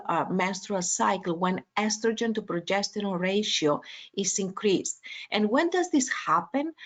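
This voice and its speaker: A woman neurologist from Greece delivers a webinar lecture, her English carrying a Greek accent. She speaks slowly, with steady mid-range pitch, and fills her pauses with frequent uh and um. The sound is that of a video call. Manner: hesitant, many uh and um fillers.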